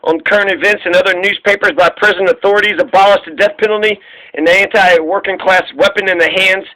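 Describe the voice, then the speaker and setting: A man's voice: sits high, steady tone, fast, sharp tone, low-quality recording. A man reading a political questionnaire aloud, sometimes stumbling and repeating phrases as he goes. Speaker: video creator reading aloud.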